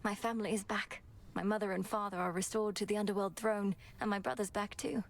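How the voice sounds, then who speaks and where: A woman voicing an earnest young goddess princess in a game, speaking in a formal, measured way. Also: mid-range voice, fairly even intonation, lightly acted. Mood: earnest, reflective. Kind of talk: scripted game voice acting.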